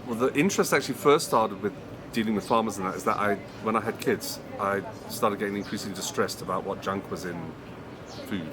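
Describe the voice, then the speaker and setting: A man's voice: lowish voice, fairly quick, crowd noise. A middle-aged man from Zambia, a small restaurant owner, explaining his work in an interview. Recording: loud urban background noise.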